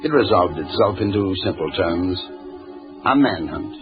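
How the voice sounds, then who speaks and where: Urgent old-time radio police inspector, clipped, swingy pitch, dull recording w music. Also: male voice, expressive, measured pace. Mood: grim, measured. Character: terse, urgent male Scotland Yard police inspector. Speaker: narrator and lead actor. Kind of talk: 1940s radio crime drama acting.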